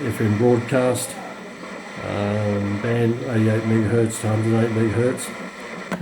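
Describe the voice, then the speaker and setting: A man giving a casual, unscripted product demonstration as a hobbyist collector, speaking haltingly with very frequent ums and pauses. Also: fairly low pitch, background noise. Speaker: radio collector presenting a receiver.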